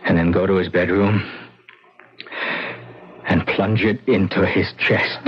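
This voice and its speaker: An actor in an old-time radio drama playing a sinister, controlling man who gives coaxing, deliberate instructions, on a vintage recording. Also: low voice, steady tone, highs cut off. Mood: menacing. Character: sinister, controlling man plotting murder, coldly insistent.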